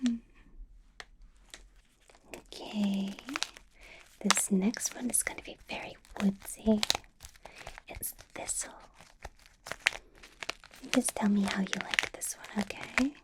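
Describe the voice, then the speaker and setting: A woman's voice: mid-range voice, steady tone, slow pace, long pauses, lightly acted, rough recording. A woman whispering as a possessive, menacing female vampire boss in an ASMR roleplay, sweet on the surface with a threatening edge. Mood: sweetly menacing. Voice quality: soft unvoiced whisper.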